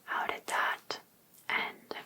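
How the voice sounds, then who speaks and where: A woman playing an overbearing, obsessive female life coach in an ASMR roleplay, softly asking attentive questions with sweet encouragement. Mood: attentive, encouraging. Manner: soft, unhurried, attentive questioning. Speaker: ASMR performer.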